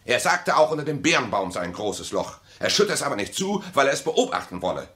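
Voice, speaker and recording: Bright-voiced, husky, pedantic husband. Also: male voice, medium pitch, big pitch swings, brisk. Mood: defensive. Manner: pedantic, dry, argumentative. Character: defensive, distrustful husband in a bitter marriage. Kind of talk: radio play acting.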